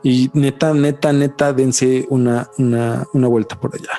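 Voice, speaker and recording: A man's voice: mid-range voice, level tone, normal speed, loud music behind the voice. A man with a Mexican Spanish accent hosting a podcast, talking casually with a lot of slang and swearing. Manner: slangy, swears a lot, says "güey" constantly. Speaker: podcast host. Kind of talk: casual chat.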